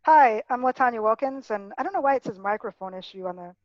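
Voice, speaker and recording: A woman's voice: on the high side, animated, quick pace, no room sound. A woman introducing herself as a coach on a panel over a video call, upbeat and friendly. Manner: upbeat, friendly, laughs easily. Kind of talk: casual self-introduction. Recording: dull lo-fi video call audio.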